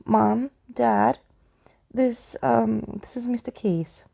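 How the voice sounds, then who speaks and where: Woman as a hesitant, husky storybook boy. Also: on the high side, fairly even intonation, takes it slowly, dark tone, voice pushed up, heavily acted. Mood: awkward. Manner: hesitant, stammering. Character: timid, bewildered young boy.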